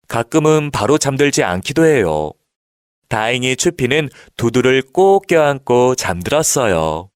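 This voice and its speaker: A man's voice: mid-range voice, dry recording, clean studio sound. A man narrating a scripted children's story, telling it expressively for young children.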